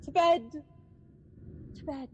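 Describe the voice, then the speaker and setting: A haunted sleepwalking noblewoman in a Shakespearean radio play. Her voice is high and very slow. She mutters in broken fragments, then breaks into imperious, guilt-racked outbursts. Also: darkish tone, lo-fi sound, slight background noise. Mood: haunted, urgent. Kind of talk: Shakespearean radio drama acting.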